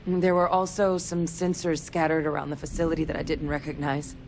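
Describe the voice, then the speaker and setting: An actress in a video game playing a tough, terse female field operative who gives tactical orders in a businesslike, measured way. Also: deep voice, level tone, darkish tone, a little hiss or hum.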